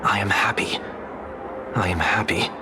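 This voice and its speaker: A man voicing a composed, solemn gentleman in a video game, speaking formally and eloquently. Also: fairly low pitch, a little slow, noisy background, close to an everyday voice. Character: composed, solemn gentleman with mind-sensing powers.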